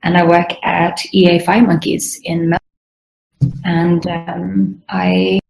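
Low, creaky-voiced young woman. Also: flat delivery, slow, darkish tone, rough recording. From Sweden and Southern Africa. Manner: frequent throat clearing. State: strained voice, needing to clear throat. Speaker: UI and UX game designer being interviewed. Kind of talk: informal career interview, explaining own work.

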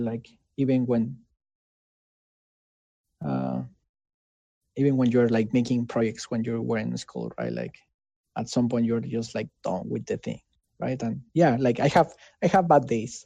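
A man with a Mexican accent and Spanish as his first language, answering casually in an interview in an earnest, halting way, often checking for agreement. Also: mid-range voice, gravelly, mellow, dry recording. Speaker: interviewee, game developer.

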